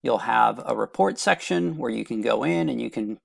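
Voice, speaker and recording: A man giving a plain, step-by-step software walkthrough as the presenter of a product demo. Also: medium pitch, expressive, normal speed, creaky, dry recording, clean studio sound. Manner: plain step-by-step instructional delivery. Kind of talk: screen-share product walkthrough, semi-scripted explanation.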